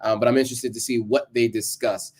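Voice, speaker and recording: A man hosting a live stream, talking through a presentation and answering viewer comments in a casual, halting way with many ums. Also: mid-range voice, flat delivery, a little mellow, breathy edge. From United States. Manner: conversational, many ums and restarts. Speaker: livestream host.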